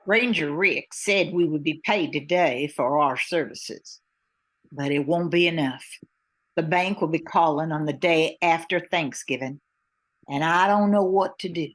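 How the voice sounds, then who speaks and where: A woman playing a steady but worried female pilot in an amateur radio drama. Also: deep voice, measured pace. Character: steady, worried woman bush pilot running small airline.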